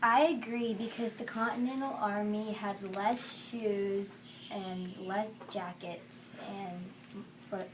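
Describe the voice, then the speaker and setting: A woman's voice: mid-range voice, fairly even intonation, takes it slowly, hardly any pauses. Young female student debater, distant mic. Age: child or young student. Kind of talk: classroom debate argument. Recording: distant mic, clearly noisy room.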